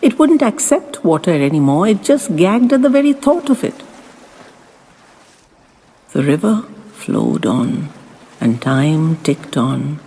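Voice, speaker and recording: A woman narrating a first-person story in a dramatic audiobook style, with theatrical, deliberate, literary phrasing. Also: low-pitched, big pitch swings, warm tone, slightly rough. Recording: long reverb with faint music behind.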